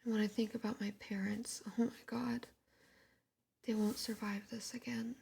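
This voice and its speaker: A woman whispering the lines of a distraught, frightened young woman influencer, in a breathy voice and a rushed, pleading manner. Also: a little high, level tone, a little mellow, a little hiss or hum.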